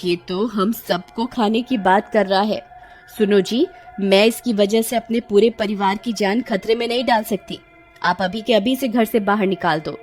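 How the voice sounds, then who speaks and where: A woman's voice: mid-range voice, moderate pace, a little mellow, faint music behind, close to an everyday voice. A woman voicing a wary, unyielding village housewife in a horror cartoon, alarmed and firm. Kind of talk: Hindi horror cartoon character voice.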